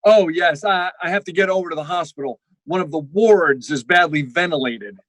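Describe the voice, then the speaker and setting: An actor playing a sardonic doctor in vintage radio comedy, full of dry insults and prone to exasperated sputtering. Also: male voice, a little high, moderate pace, fairly bright tone, no echo, poor audio. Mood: dry, wry.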